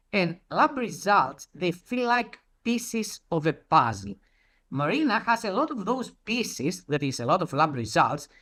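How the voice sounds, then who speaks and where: A presenter with a very animated, mid-pitched voice delivers a prepared talk. The phrasing is deliberate and storylike, with key points repeated for emphasis and plenty of rise and fall.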